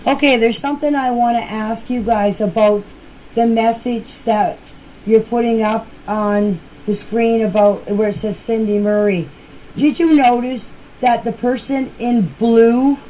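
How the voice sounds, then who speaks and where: A woman with a slightly high voice talks informally, as if leaving voice messages on her phone. She explains in a halting way and often circles back to repeat herself. There is some faint noise in the recording. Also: mellow. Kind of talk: informal voice messages to a group chat. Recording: noisy phone voice clips.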